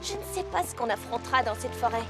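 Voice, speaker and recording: A woman voicing an earnest, expressive young pony princess who is unsure of herself, in a French cartoon dub. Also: fairly high pitch, fast, loud music behind the voice. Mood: earnest, resolute.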